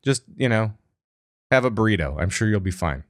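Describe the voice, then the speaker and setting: A man's voice: lowish voice, lively intonation, brisk. A man hosting a casual gaming podcast, rambling with lots of filler words. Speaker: podcast host.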